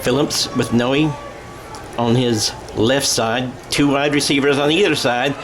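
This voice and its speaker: A man's voice: mid-range voice, steady tone, measured pace, background noise. A middle-aged American man calling a football game in radio play-by-play style, with a folksy, self-correcting manner. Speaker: play-by-play sports announcer.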